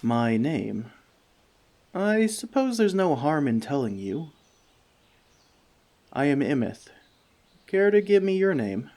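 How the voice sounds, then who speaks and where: A man playing a friendly forest elf in an ASMR roleplay, talking to the listener with dry, gentle teasing. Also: fairly high pitch, full character voice. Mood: playful, teasing.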